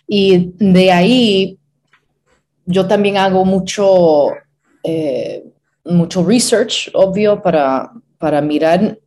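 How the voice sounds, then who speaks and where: Give me a woman with a warm, lower voice answering an interview in a thoughtful, hesitant way. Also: slow. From Pennsylvania, USA. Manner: thoughtful, many hesitations and restarts. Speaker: opera stage director, guest.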